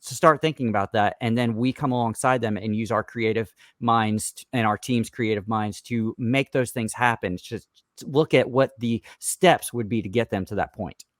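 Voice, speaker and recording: A man's voice: medium pitch, normal speed, no echo, studio quality. Man giving conversational business advice. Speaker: podcast guest, business marketing entrepreneur.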